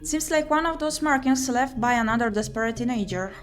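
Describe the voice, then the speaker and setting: A woman's voice: a little high, fairly quick, close to an everyday voice. A woman voicing an uneasy, sardonic young female explorer in a visual novel, with dramatic, wry self-talk. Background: game music.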